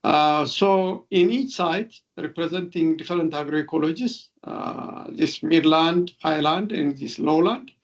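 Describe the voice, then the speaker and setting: A man with an Ethiopian accent giving an explanatory webinar lecture as a researcher, speaking haltingly with frequent hesitations. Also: a little high. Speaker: researcher and professor giving an expert talk. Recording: dull, lo-fi webinar audio.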